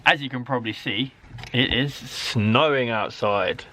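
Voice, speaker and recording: A man, a primary school teacher, reading a children's story aloud in an expressive, dramatic way. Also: mid-range voice, measured pace. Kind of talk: animated children's story narration.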